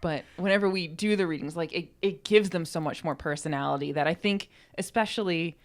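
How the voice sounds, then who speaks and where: A woman's voice: on the low side, brisk. A woman co-hosting a podcast, talking in a casual, conversational way into a microphone. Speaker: podcast co-host and co-writer.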